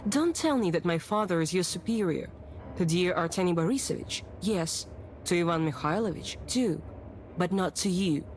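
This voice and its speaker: A woman's voice: on the low side, animated, moderate pace, darkish tone, slight background noise, close to an everyday voice. A woman playing a bold, imperious young journalist in a period visual novel, haughty and assertive. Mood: assertive, demanding. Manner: haughty, assertive, crisp commands.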